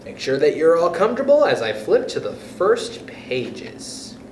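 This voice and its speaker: A man's voice: fairly high pitch, wildly swooping pitch, measured pace, a little mellow. A man hosting storytime, reading a children's book expressively and speaking directly to young listeners. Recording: echoey room with background noise.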